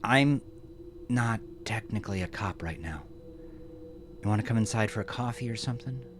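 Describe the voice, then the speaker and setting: A man's voice: fairly low pitch, animated, moderate pace, noisy background, pitched above the performer's own voice, natural voice. A man voicing a tough, guarded woman who was a soldier, in a terse, dry manner for an audio drama. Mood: hesitant.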